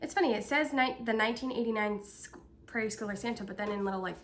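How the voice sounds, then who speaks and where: A woman's voice: a little high, fast, slight background noise. A young woman co-host chatting casually and giggling, with lots of "like" and "um". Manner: chatty, giggly, says "like" and "um" often. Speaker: podcast co-host. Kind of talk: casual chat.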